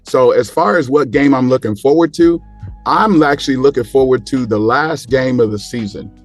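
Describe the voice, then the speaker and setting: A man with an average-pitched voice gives his take as a guest on a casual sports panel. He speaks haltingly, often repeating words while he builds up a point. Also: soft background music.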